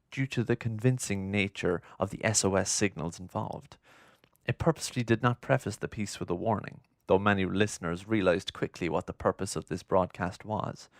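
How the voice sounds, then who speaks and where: Low, gravelly male documentary narrator. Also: no room sound, studio quality. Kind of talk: scripted narration.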